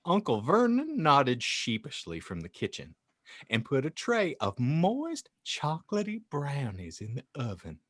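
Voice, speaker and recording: A man's voice: mid-range voice, huge pitch swings, measured pace, fairly bright tone, no room sound. A man hosting a podcast, reading a story like an audiobook narrator in a dramatic, playful, mock-grand way. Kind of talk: theatrical audiobook reading with joking asides.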